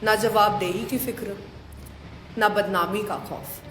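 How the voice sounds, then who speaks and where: A woman's voice: mid-range voice, steady tone, measured pace, fairly bright tone, soft background music. A woman narrating a story dramatically as part of an audio play. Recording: echoey hall, faint noise.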